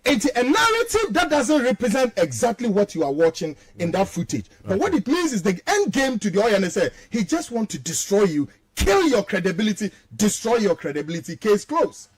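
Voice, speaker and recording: A Ghanaian man with a very high voice argues like a fired-up political pundit. His pitch leaps around in animated swings as he switches between Twi and English. He stresses key phrases by saying them again. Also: a little fast, hardly any pauses. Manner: emphatic, rhetorical, repeats phrases for stress. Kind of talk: heated political commentary, mixing Twi and English.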